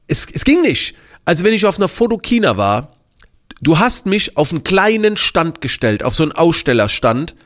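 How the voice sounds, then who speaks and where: Man with a slight cold, telling stories. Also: a little high. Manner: animated, many fillers and restarts. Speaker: podcast host. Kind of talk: casual storytelling monologue.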